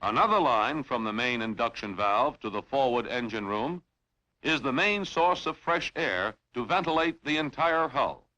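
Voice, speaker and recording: A man reading scripted instructional narration in a formal, measured, clearly enunciated way, as in a training film. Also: medium pitch, lively intonation, pushed and bright, highs cut off.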